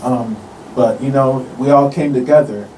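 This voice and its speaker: A man's voice: mid-range voice, moderate pace, warm tone, recorded from a distance. Casual man in a roomy space. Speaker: musician guest. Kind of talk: casual interview chat. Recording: roomy, off-mic, some background noise.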